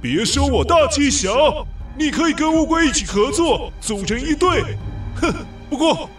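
A man voicing an arrogant, boastful dragon in a children's audio story, with haughty mockery and drawn-out words. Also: lively intonation. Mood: mocking. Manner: haughty, mocking, drawn-out words, booming laughs. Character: arrogant male dragon challenger, boastful and taunting. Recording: echoey, distant voice with music behind.

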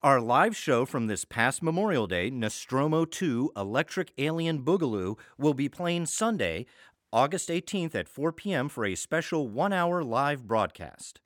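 A man's voice: mid-range voice, big pitch swings, moderate pace, dry recording, clean studio sound. A man speaking as a podcast co-host, making show announcements in a conversational style. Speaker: co-host of an audio theater podcast.

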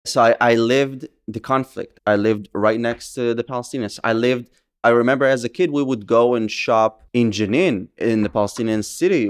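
A man from Israel in his late twenties with an Israeli accent, telling his story as an interview guest in a quick, energetic way full of fillers. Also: mid-range voice, smooth. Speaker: guest, social media activist. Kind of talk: conversational interview.

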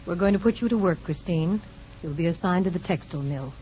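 An actress playing a brisk, firm but kindly women's prison official in a measured 1950s radio drama style. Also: female voice, fairly low pitch, dark tone, a little hiss or hum, natural voice. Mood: stern, businesslike. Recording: muffled old radio broadcast with music.

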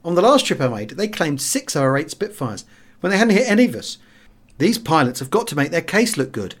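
A man with a British accent voicing an earnest, excitable fighter pilot reasoning aloud in an audiobook memoir. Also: fairly high pitch, fairly quick, voice pushed up. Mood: excited.

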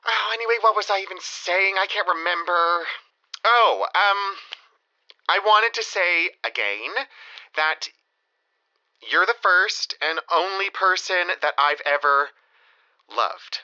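An actor playing a rambling, self-pitying lovesick ex-boyfriend in his thirties, halting and confessional, in an audio comedy drama. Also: high-pitched, pushed and bright, lo-fi sound, full character voice. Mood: exasperated. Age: 30s.

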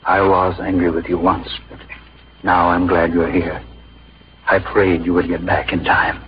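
Kindly older gentleman, forgiving and grave, deep voice, radio play, dull vintage sound. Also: little pitch movement, unhurried, a little hiss or hum. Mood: solemn, forgiving. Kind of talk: 1950s radio drama acting. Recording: muffled old radio broadcast, music behind.

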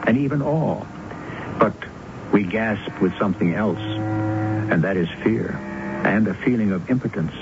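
A man's voice: lowish voice, expressive, measured pace, pauses often, noisy background. A man hosting a radio drama, delivering formal, solemn, philosophical narration in a rhetorical manner. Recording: dull 1970s radio recording with loud music.

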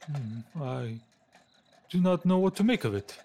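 A man playing a fussy, anxious Victorian gentleman in an audio drama, hesitant and stammering as he talks. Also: on the high side, lively intonation, measured pace. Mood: anxious, puzzled.

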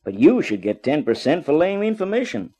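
An actor playing a chummy, blunt homicide detective in a 1950s radio crime drama. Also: male voice, fairly high pitch, very animated, brisk, warm tone, husky. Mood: blunt, amused. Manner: blunt, slangy. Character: gruffly chummy male homicide police detective, slangy and blunt.

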